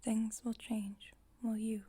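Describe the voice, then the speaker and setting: A woman playing a calm, formal, caring vampire coven leader in a whispered ASMR roleplay, speaking in a gentle, measured, breathy whisper. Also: fairly high pitch. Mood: calm, instructive.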